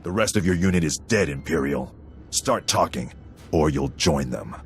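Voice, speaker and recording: An actor playing a stern, no-nonsense army sergeant in a sci-fi video game, with gruff, clipped military delivery. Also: male voice, extremely low pitch, animated, normal speed, soft background music. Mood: threatening. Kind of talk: dramatic video game acting.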